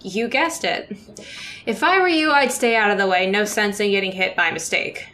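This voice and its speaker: A woman playing a sad, resigned young woman who speaks calmly, in old-time radio drama style. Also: mid-range voice, moderate pace, close to an everyday voice. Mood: bitter calm. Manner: calm, resigned.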